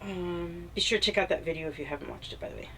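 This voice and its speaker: A woman casually explaining the crystals she puts in a box, talking unhurriedly with pauses and fillers. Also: fairly low pitch, a bit bright, a little hiss or hum, rough recording. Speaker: crystal shop vlogger.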